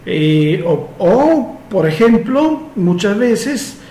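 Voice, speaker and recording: A man who is not a native Spanish speaker, talking slowly and hesitantly as a therapist answering follower questions, with frequent fillers. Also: high voice, very animated, warm tone, reverberant, rough recording. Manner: slow and measured, many hesitations and fillers. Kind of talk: casual solo Q&A monologue.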